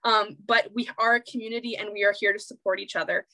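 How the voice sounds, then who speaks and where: A woman's voice: medium pitch, fairly even intonation, fast talker, sharp tone, low-quality recording. A young woman student with a Canadian accent speaking out emotionally and emphatically at an online public meeting over a video call. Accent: Canadian English. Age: young adult. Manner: emotional, emphatic, repeats and restarts words. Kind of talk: impassioned public meeting speech.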